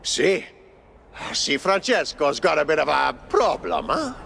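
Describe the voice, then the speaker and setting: An actor playing an excitable, exasperated cartoon circus boss with an Italian accent, theatrical and exclamatory in a video game. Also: male voice, on the high side, measured pace, creaky, slight background noise, heavily acted.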